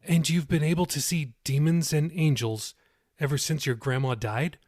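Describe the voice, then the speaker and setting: A man's voice: on the high side, steady tone, normal speed, darkish tone, dry recording, studio quality, lightly acted. A man voicing a curious, casually spoken woman in an audiobook, full of wonder. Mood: amazed. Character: curious, amazed woman, informal talker.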